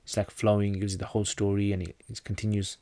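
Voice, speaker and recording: A low-pitched man from North Pakistan whose first language is Pashto, reading out viewer questions and answering them in a relaxed livestream. His delivery is plain, with frequent restarts. The sound is close and dry, with no room echo. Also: quick pace. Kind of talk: casual livestream Q&A.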